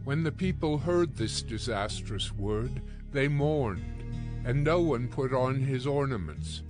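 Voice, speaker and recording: A man narrating scripture from a script, solemn and deliberate, as in an audio Bible. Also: medium pitch, expressive. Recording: music behind the voice.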